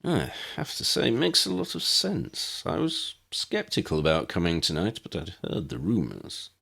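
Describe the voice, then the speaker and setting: A thoughtful wizard voiced by a male narrator talks in a considered, conversational way. The pitch rises and falls freely, giving this audiobook character a cautiously persuaded air. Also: huge pitch swings, normal speed, gravelly, a bit bright, full character voice. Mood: thoughtful agreement. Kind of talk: audiobook dialogue.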